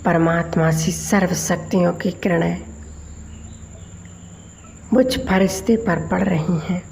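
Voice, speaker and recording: A woman leading a guided meditation, speaking in measured, deliberate short phrases with long pauses between them. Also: fairly low pitch, fairly even intonation, vocal fry, darkish tone, a little hiss or hum. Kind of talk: slow guided meditation commentary.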